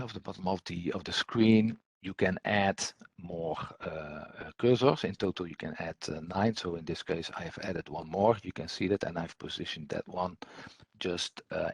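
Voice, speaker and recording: A man with a low-pitched, rough-edged voice walks through software as an instructor. His delivery is halting and hesitant, with words often repeated as he explains. The sound is dry and close. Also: no room sound. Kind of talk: unscripted screen-share software tutorial.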